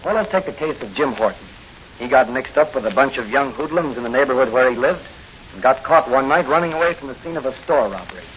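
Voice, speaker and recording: A man's voice: medium pitch, lively intonation, fast talker, fairly bright tone, lightly acted. An actor playing an earnest young rookie policeman with a secret crime-fighting life, in 1940s radio drama style. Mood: earnest, concerned. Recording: hissy, dull old radio recording.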